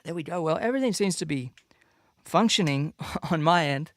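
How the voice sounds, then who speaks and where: A man's voice: on the high side, normal speed, dry recording, studio quality. A man in his thirties from Australia, with an accent between Australian and American, chatting casually and loosely to livestream viewers as a musician. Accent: mixed Australian-American. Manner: relaxed, rambling, many fillers and restarts. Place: home room with a slight added reverb.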